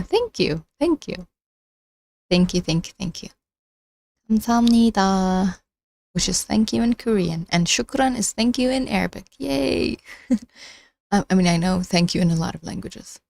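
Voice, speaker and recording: A woman's voice: medium pitch, vocal fry, a little mellow, no room sound. A young woman whose first language is not English, chatting casually and rambling on a live stream from her phone, with lots of restarts and giggles. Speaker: livestreamer.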